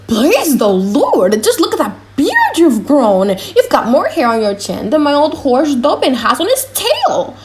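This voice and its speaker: Woman putting on a high, wailing old father. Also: wildly swooping pitch, measured pace, noisy background, heavily acted. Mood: joyful. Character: nearly blind, humble, anxious old father. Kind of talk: dramatized audiobook reading.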